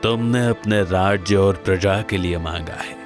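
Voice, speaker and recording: A man voicing a wise old forest sage in a cartoon moral story, speaking in grave, deliberate pronouncements. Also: sits low, music in the background, voice pushed down. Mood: solemn, benevolent.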